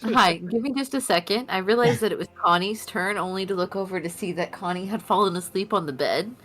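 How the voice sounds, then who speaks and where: A woman at a tabletop game chats casually, playful and teasing. Her voice is at a medium pitch, with quiet music in the background. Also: brisk. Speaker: player. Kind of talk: casual chat.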